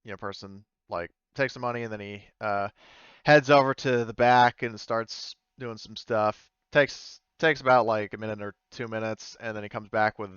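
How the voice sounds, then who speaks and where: A man's voice: fairly low pitch, steady tone, moderate pace, a bit bright, clean tone, dry recording, muffled recording. A man narrating an improvised tabletop game as game master, tentative and often thinking aloud. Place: indoors, online voice call.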